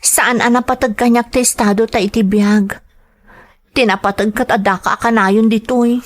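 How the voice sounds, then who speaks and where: A woman's voice: fairly high pitch, steady tone, normal speed, natural voice. A woman acting in a radio drama as a tender, clinging young woman, with an Ilocano accent and an emotional manner. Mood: hurt, pleading.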